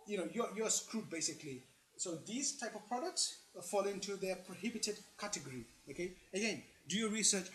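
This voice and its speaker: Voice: mid-range voice, rough recording. An online presenter giving informal explanatory commentary in an opinionated, emphatic manner, often checking in with the listener.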